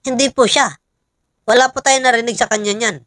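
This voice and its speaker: A Filipino woman giving informal political commentary, pausing often and emphatically putting rhetorical questions to listeners. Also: on the high side, steady tone, fairly bright tone, no room sound, clean studio sound.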